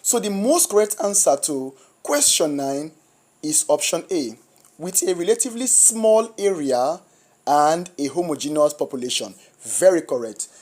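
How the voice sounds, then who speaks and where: A Nigerian-accented exam tutor with a husky, rough voice, held back by a flu and a sore throat. The tutor reads each option out in turn, then explains the right answer patiently and step by step. The pitch sits in a middle range. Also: animated, moderate pace, a little mellow. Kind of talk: walking through exam questions.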